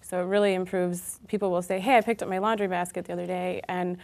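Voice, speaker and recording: Lowish-voiced woman, casual interview guest. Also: level tone, fast talker, a little mellow. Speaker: guest, gym owner and trainer. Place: small TV studio set, indoors.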